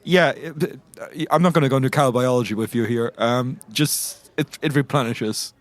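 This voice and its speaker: A man playing a reluctant grumbler in a comedy audio drama, halting and deadpan. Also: medium pitch, natural voice. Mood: dry.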